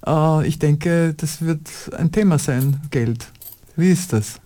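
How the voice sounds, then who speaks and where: An interviewer asking questions in a halting way, with many fillers. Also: medium pitch, mellow.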